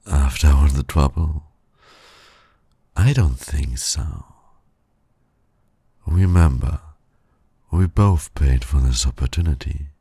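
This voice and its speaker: A man playing a suave, flirtatious villain who teases and courts his nemesis in an intimate ASMR roleplay, with a husky, gravelly, breathy voice. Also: extremely low pitch, no echo, full character voice. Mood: coaxing, persuasive. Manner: slow, breathy, suave teasing with soft chuckles.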